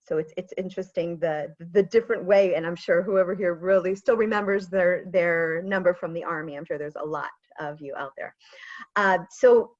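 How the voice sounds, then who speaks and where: A woman's voice: lowish voice, moderate pace, dry recording. Chatty woman with an American accent. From Israel. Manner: chatty, animated, self-deprecating asides. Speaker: pitch coach. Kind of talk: webinar presentation. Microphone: video call. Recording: dull lo-fi video call audio.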